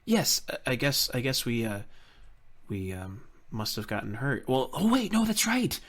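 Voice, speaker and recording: A man's voice: mid-range voice, very animated, a little slow, a bit bright. A man playing a forgetful, scatterbrained robot in an improvised Minecraft roleplay, hesitant and muttering. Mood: rambling, friendly. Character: bumbling, forgetful, chatty robot.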